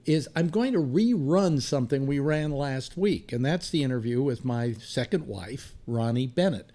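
An American man in his seventies chats as a talk show host. His delivery is casual and rambling, with a very lively, swinging pitch. He speaks into a desk microphone at home. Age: 70s. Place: home room lined with disc shelves.

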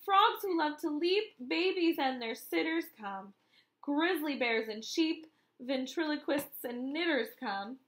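Female teacher, 30s, very high bright voice, animated picture-book read-aloud for little kids. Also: unhurried. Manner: animated, exaggerated wonder, addressing young children with questions. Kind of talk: children's read-aloud counting lesson.

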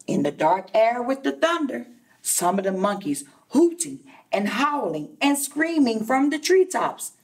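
A woman teacher reading a children's storybook aloud expressively, addressing young listeners in a deliberate way. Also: medium pitch, distant mic. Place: indoor classroom.

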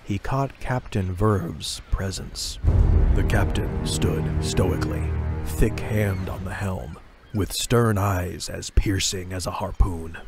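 A man's voice: low voice, slow pace. A man narrating a scripted fantasy audio drama in a dramatic storytelling style. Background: music.

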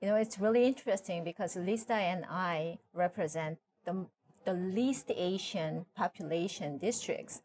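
Lower-voiced woman with a Korean accent. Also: normal speed, darkish tone. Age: middle-aged. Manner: earnest, conversational, leans on "you know". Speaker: county supervisor, guest. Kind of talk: TV interview. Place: TV studio. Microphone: lapel mic.

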